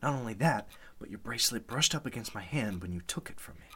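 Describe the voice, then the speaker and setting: A man's voice: mid-range voice, animated, fast, fairly bright tone, husky. An actor playing a suspicious, insistent man investigating a murder in a radio mystery, speaking with accusing intensity. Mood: hushed, insistent. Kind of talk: radio mystery drama in Golden Age manner.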